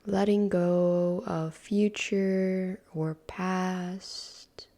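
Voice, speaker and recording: A woman leads a guided meditation in a calm, unhurried way, with a soft, breathy voice that slips into near-whispers and long pauses between phrases. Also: fairly low pitch.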